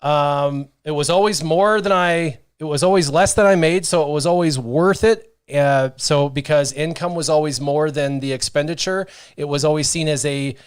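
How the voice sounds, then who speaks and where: A man in his thirties hosting a relaxed studio stream, telling stories with lots of animation and reading out viewer comments. His voice sits a bit high for a man. The sound is close and dry, with no room around it. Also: moderate pace, few pauses, clear, resonant tone, dry recording. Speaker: host. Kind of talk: casual livestream chat. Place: small studio with brick wall. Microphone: studio mic on stand, headphones.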